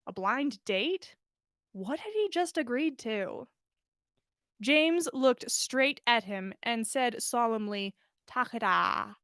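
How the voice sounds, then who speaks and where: A woman reading fan fiction aloud as a podcast host, in an expressive storytelling style. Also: on the high side, slow pace, no room sound, clean studio sound.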